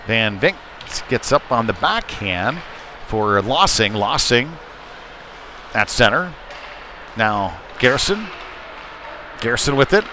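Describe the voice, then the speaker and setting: A man's voice: medium pitch, big pitch swings, slow pace, long pauses, pushed and bright. Guy doing live hockey play by play, kinda chatty, noisy arena in the background. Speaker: play-by-play sports commentator. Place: indoor ice rink. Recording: noisy live rink broadcast.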